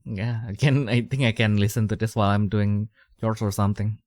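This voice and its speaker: A man's voice: fairly low pitch, steady tone, a little fast, darkish tone, no echo, studio quality. A young man, hosting a podcast in casual, rambling chat full of fillers and restarts. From Indonesia. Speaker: podcast host.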